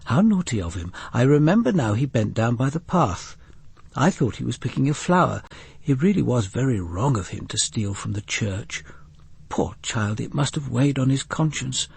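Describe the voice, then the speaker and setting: A man voicing a fussy, husky-voiced churchgoing spinster. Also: medium pitch, big pitch swings, moderate pace, darkish tone, dry recording, full character voice. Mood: reproachful. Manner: bustling, chatty. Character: fussy, chattering, well-meaning churchgoing spinster.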